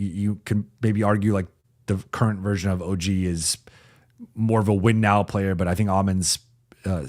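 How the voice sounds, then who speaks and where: A man with a fairly low voice that gets a bit raspy, hosting a basketball stream from a home mic. He talks loosely and conversationally, restarting sentences as he thinks and reading out viewer comments between points. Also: darkish tone. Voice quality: raspy when talking for a while. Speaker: sports reporter and channel host. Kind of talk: casual live-chat basketball analysis.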